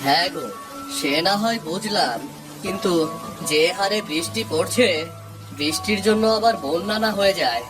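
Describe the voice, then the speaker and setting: A man voicing a grumbling, fretful village husband and father in a cartoon, expressive and homely. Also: sits high, normal speed, over music, poor audio. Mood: chatty, fretful. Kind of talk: Bengali moral-story cartoon voice acting.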